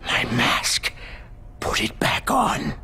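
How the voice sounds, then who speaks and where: An actor playing a dying psychic villain in a video game, sinister and mournful, with a breathy rasp and slow, theatrical delivery. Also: male voice, sharp tone. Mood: dying, wistful. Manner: slow, halting, dramatic phrasing. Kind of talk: video game cutscene acting.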